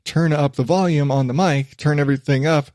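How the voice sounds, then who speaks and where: A chatty man hosting his own podcast, talking in a rambling, self-deprecating way. His voice sits a little high for a man. The recording is close and dry, with almost no room sound. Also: fairly even intonation, a little fast, darkish tone, dry recording. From western United States. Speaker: podcast host. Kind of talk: rambling solo podcast monologue. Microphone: studio microphone.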